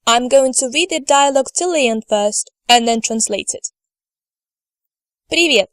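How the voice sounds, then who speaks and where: A woman teaching a language lesson over slides, speaking slowly and carefully and modelling words for learners to repeat. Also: high voice, no room sound, clean studio sound. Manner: slow, careful delivery, models words for repetition. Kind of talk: slide lecture.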